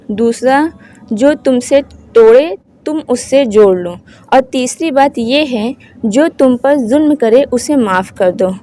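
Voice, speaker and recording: A woman host giving an informal religious talk to viewers, explaining haltingly and correcting herself as she goes. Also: fairly high pitch, mellow. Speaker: host of Islamic advice channel.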